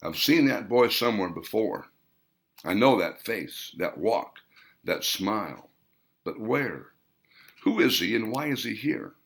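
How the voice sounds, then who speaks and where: A man voicing a boastful, shrewd old male war veteran who talks in an excited, raised storytelling voice. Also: lowish voice, measured pace, vocal fry, breathy edge, full character voice. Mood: musing.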